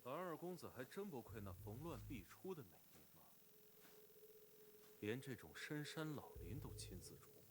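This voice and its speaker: A man playing a stern, haughty clan leader in an animated fantasy drama, imperious, scornful and curt. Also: mid-range voice, normal speed, close to an everyday voice, rough recording.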